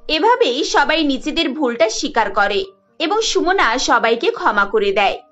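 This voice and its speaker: A woman's voice: high-pitched, very animated, moderate pace, a bit bright, highs cut off, faint music behind. A woman narrating a scripted cartoon story.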